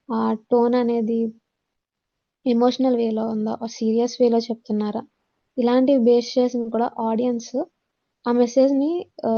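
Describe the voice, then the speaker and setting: A woman's voice: fairly high pitch, creaky, dark tone. A woman with a Telugu accent giving a lesson as an instructor, explaining points from slides in a dull, lo-fi recording. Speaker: instructor teaching communication skills. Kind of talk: slide-based lesson, reading points aloud then explaining.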